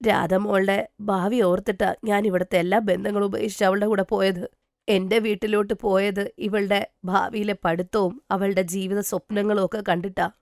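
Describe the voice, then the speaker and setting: Gentle, worried mother voiced by a woman. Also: mid-range voice, level tone, normal speed, no room sound, clean studio sound, natural voice. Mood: regretful. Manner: soothing, placating. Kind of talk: dramatised cartoon story dialogue.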